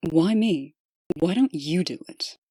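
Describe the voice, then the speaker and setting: A woman's voice: on the low side, expressive, slow, warm tone, slightly rough, no echo, full character voice. A woman playing a cool, businesslike, exasperated deputy in a comic dub, terse and skeptical. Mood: irritated. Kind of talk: comic dub character acting.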